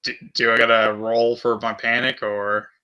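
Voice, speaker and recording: A man's voice: mid-range voice, level tone, normal speed, sharp tone, clean tone, lo-fi sound. A man chatting casually as a player in a tabletop role-playing game.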